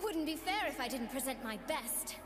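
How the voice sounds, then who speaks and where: A woman's voice: high voice, animated, normal speed, long reverb tail. A woman voicing an earnest, hopeful young living doll seamstress in a video game, sweet and determined. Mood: earnest resolve. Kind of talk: video game character voice lines. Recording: game clip with music behind.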